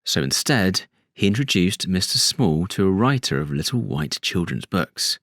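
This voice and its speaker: A British man playing a measured, reflective storybook character giving a thoughtful interview in an audio drama. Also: low voice, sing-song, fairly bright tone, heavily acted. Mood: storytelling. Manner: thoughtful, hesitant, conversational.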